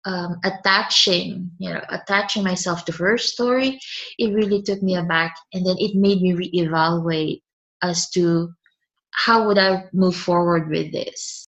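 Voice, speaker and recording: A woman's voice: fairly low pitch, fairly even intonation, a little slow, a bit bright, muffled recording. A woman, a guest speaking hesitantly and often restarting her words. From Philippines. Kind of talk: conversational interview.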